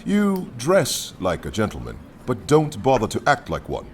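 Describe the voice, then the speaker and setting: An actor in a radio play voicing a huge, overbearing anarchist leader, jovially mocking and menacing, with a theatrical, domineering manner. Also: male voice, lowish voice, wildly swooping pitch, moderate pace, slight background noise.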